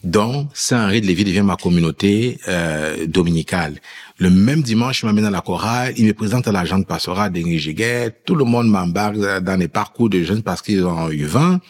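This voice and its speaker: Man, very low voice, husky, personal storytelling, interview guest, acts out quoted dialogue. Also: normal speed. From immigrant who lived in France before Quebec. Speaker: guest telling his faith story.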